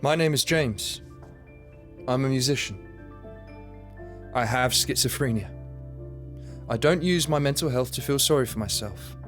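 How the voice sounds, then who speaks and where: A man's voice: mid-range voice, measured pace, pauses often, a bit bright, soft background music, natural voice. Guy playing a nervous young musician with schizophrenia, stammers and restarts, radio play. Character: young man with schizophrenia, anxious, hopeful musician. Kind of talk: radio drama acting.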